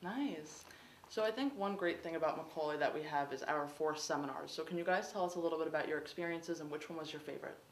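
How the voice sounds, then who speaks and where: A woman's voice: deep voice, fast talker, a little hiss or hum. A young American woman hosting a student livestream, upbeat and welcoming. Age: young adult. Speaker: host.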